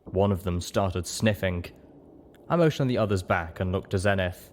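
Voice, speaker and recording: A man's voice: deep voice, moderate pace. A man reading scripted first-person story narration as an audiobook narrator.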